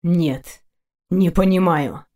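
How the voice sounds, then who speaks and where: A woman's voice: lowish voice, slow pace, dark tone. A woman voicing a stern young male knight in an audiobook, a tense and stubborn lover who speaks tersely and insistently. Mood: angry. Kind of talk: audiobook character voice.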